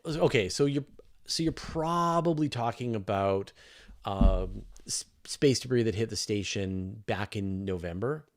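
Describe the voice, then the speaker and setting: A man's voice: medium pitch, darkish tone. A man hosting a livestream, answering questions in a casual, conversational explainer style with everyday examples. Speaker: host of a space Q&A livestream.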